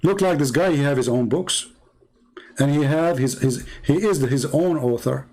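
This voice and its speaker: A man's voice: a little high, moderate pace, a little mellow. A man with an Arabic accent, speaking English as a second language, giving argumentative commentary full of sarcastic rhetorical questions. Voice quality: broken, non-native grammar. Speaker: religious debate commentator. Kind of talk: polemical commentary.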